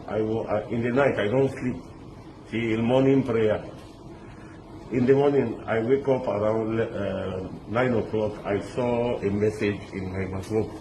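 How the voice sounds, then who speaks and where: A man from Lebanon, interviewed outdoors, telling his story animatedly and mixing in pidgin English. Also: on the low side. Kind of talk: street interview recounting. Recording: echoey, with loud ambient noise.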